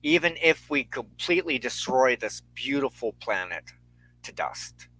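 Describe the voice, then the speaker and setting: A man hosting a spiritual show, speaking reflectively and earnestly in measured, halting short phrases with deliberate pauses. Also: mid-range voice, fairly bright tone, poor audio. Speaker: host and minister of a spiritual show. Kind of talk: reflective spiritual talk to an online audience.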